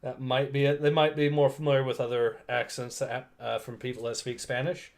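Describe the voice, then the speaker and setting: A man's voice: mid-range voice, little pitch movement, a little fast, vocal fry. Male livestream host, rambly, laughs a lot, reads chat casually. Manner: rambling, laughs often, many fillers.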